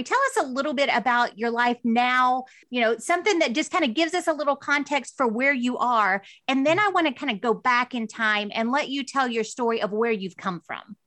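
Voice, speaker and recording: Woman podcast host, a bit higher register, dry recording, earnest and enthusiastic. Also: a bit bright, no room sound. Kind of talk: warm interview podcast.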